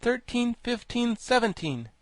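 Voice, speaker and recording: A man voicing a plucky, excitable young boy in a storybook, quick to exclaim and sulk. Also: extremely high pitch, no room sound, voice pushed up, exaggerated voice. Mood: counting carefully. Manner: exclamatory, petulant. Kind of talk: storybook character voice.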